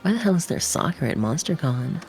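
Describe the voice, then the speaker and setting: A woman's voice: sits low, very animated, brisk, a little mellow. A woman reading video game narration and tutorial text aloud as a stream co-host. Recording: music behind the voice.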